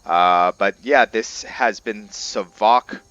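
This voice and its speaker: A man giving casual let's play commentary as he roleplays, rambling and halting as he thinks aloud. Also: fairly low pitch, fairly bright tone, dry recording, highs cut off. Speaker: gaming video host, roleplayer.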